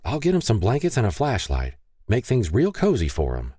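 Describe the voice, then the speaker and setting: Guy doing a sweet coaxing older small town wife for an audiobook, pitched up, soft-edged. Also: wildly swooping pitch, moderate pace, husky, no echo, studio quality, exaggerated voice. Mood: pleased. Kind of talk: audiobook character dialogue.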